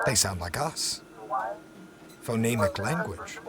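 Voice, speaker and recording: A man's voice: fairly low pitch, sing-song, slow, a loud crowd. A man playing an obsessive, grandiose scientist in an audio drama. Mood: awed, dismissive. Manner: theatrical, grandiose. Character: obsessive, melodramatic male scientist seeking other worlds. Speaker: actor.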